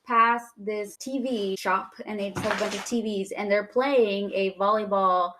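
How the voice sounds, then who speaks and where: A woman's voice: medium pitch, fairly even intonation, normal speed, noisy background. Chatty woman, rambling and informal. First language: Spanish alongside English, grew up speaking it. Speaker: podcast co-host. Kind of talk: casual chat about anime.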